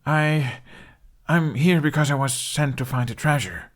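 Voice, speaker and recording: A man's voice: fairly high pitch, a little slow, pitched above the performer's own voice, lightly acted. A man voicing a shy, awkward young hero with a hesitant, sheepish, stammering delivery in an audiobook.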